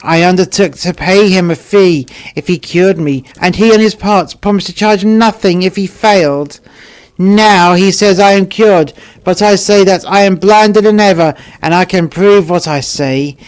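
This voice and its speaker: A man voicing a shrewd old woman from a storybook fable, arguing her case deliberately. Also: high voice, clear, resonant tone, close to an everyday voice. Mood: shrewd defiance. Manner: argumentative, deliberate. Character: shrewd old woman defending herself in court.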